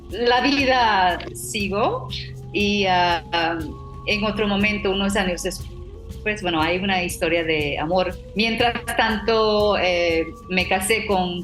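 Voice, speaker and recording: Slow, unhurried Trinidadian woman with roomy echo. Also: on the low side, a bit bright. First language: English. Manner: halting, frequent restarts and fillers, occasional light laughs. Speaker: professional interpreter, guest. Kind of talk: personal storytelling talk.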